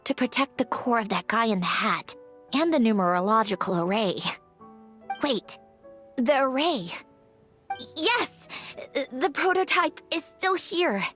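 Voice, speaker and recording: A woman's voice: fairly high pitch, expressive, unhurried, long pauses, fairly bright tone, soft background music. A woman voicing a sardonic, weary mechanical puppet researcher in a video game, speaking in a dry, analytical way. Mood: grim, bitter, then determined, strained. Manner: analytical, dry, clipped self-talk.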